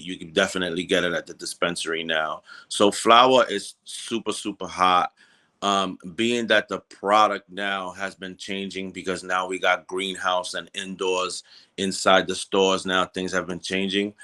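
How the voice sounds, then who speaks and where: A man with a New York accent speaks in a very deep voice that still carries a bright, forceful edge. He talks loosely and casually, with lots of ums and street slang. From New York City, Washington Heights. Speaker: guest, cannabis business co-founder. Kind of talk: casual interview chat.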